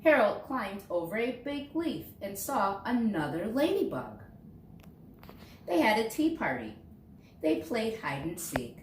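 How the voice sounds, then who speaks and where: In a roomy, echoing space, a woman a little away from the mic reads a children's storybook aloud. Her pitch moves in lively swings as she stresses key words, and she takes her time over each line. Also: measured pace. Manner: expressive, dramatizing key words for young listeners. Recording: roomy echo, distant mic, faint noise.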